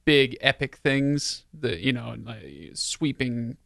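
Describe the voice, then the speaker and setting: A man's voice: mid-range voice, expressive, slow, vocal fry, bright, forceful tone, no room sound, studio quality. A man hosting a casual panel chat in a joking, tongue-in-cheek way. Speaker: podcast host.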